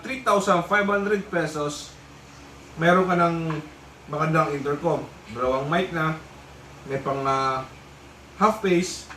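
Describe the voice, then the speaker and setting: A man's voice: a little high, a bit bright. A man with a Filipino accent giving a casual, explanatory product review, often repeating himself as he goes. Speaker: vlogger reviewing a motorcycle intercom. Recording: echoey room, distant mic, faint noise.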